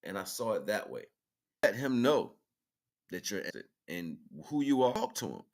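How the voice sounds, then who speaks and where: A man's voice: fairly low pitch, very animated, normal speed. A man talking casually and informally as the host of an online livestream, rambling and colloquial as he addresses his listeners.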